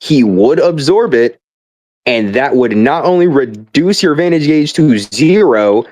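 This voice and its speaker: Young male, mid-pitched, dry close sound. Casual exasperated ranter: sweary, hyperbolic, stumbles over repeated starts. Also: lively intonation, unhurried, darkish tone, no room sound. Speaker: gamer. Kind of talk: casual rambling rant.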